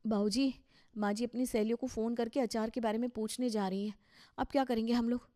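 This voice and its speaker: Cartoon daughter-in-law voiced by a woman: young, respectful, anxious, earnest, fairly high pitch. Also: fairly even intonation, a little fast, dark tone, dry recording, clean studio sound, close to an everyday voice. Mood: earnest, worried. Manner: earnest, questioning. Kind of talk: Hindi cartoon story dialogue.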